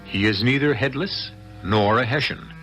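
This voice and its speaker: A man hosting and narrating a radio mystery in a formal, deliberate, dramatic manner. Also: medium pitch, music in the background, lightly acted. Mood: solemn, ominous. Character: solemn male host narrating a suspense tale. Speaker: host and narrator.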